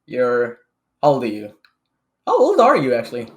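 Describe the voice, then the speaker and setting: A man's voice: mid-range voice, wildly swooping pitch, moderate pace. Chatty young man hosting a podcast. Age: 20s. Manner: chatty, swearing casually, tag questions. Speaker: podcast host. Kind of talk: casual podcast chat.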